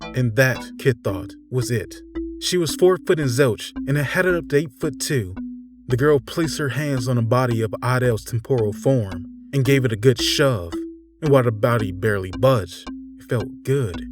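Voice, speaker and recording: A man reading a dark storybook tale in a measured way, dropping to a hush and swelling up again. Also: medium pitch. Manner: measured, with sudden hushed drops and swells. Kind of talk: dramatic scripted narration. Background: music.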